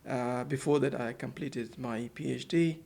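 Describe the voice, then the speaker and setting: A man talks as a podcast guest in an office, at a middle pitch for a man. His voice has a gravelly, creaky edge. He speaks hesitantly and often repeats words and fills gaps while finding his phrasing. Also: steady tone, measured pace, a little mellow. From Pakistan. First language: Urdu. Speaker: guest, center manager. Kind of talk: casual podcast interview.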